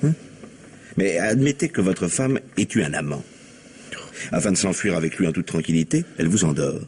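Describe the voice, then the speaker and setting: A man playing a shrewd police commissioner in a French radio mystery in 1950s style, questioning briskly and insistently with pointed courtesy. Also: deep voice, animated, a little mellow, slight background noise. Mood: probing. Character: shrewd, probing middle-aged male police commissioner. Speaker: actor playing a detective.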